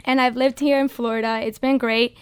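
A woman's voice: a little high, flat delivery, brisk, clean tone, no echo. A woman in her twenties from the Dominican Republic, a podcast guest speaking earnestly and passionately about her faith. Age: 20s. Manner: earnest and passionate, exhorting listeners. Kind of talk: casual faith-based podcast talk. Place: studio.

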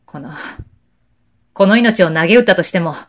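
An actor playing a righteous, dutiful young samurai officer in a Japanese period audio drama, with formal, respectful, archaic speech. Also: male voice, high voice, animated, moderate pace, a bit bright. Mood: resolute, impassioned.

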